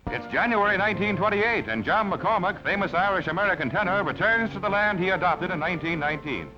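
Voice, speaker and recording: A man's voice: high voice, lively intonation, fast. A man reading scripted narration for an old newsreel in a clipped, dramatic, telegraphic delivery. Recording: dull old newsreel soundtrack with music. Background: music.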